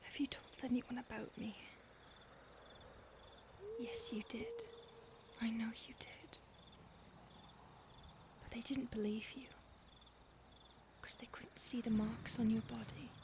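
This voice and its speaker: A woman's voice: on the high side, animated, takes it slowly, long pauses, noisy background. A woman voicing an ancient, possessive female vampire stalker in an audio roleplay, mostly whispering close to the listener. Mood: menacing whisper.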